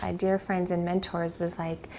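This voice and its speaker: A woman's voice: fairly low pitch, level tone, normal speed, darkish tone, slight background noise. A woman guest in a casual interview, chatty and upbeat, with many fillers. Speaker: business executive guest.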